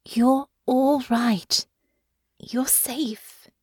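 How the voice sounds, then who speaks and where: A woman narrator voicing a gentle, caring young woman in an audiobook, soft and soothing but earnest. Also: sits high, big pitch swings, a little slow, no echo, studio quality, voice pushed up. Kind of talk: audiobook character acting.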